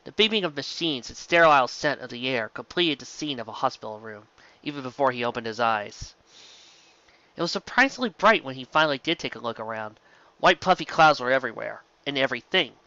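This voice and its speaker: A man's voice: mid-range voice, expressive, fairly quick, sharp tone, dry recording, muffled recording. A man narrating a scripted piece of fan fiction as an amateur reader, now and then stumbling over words and rereading them.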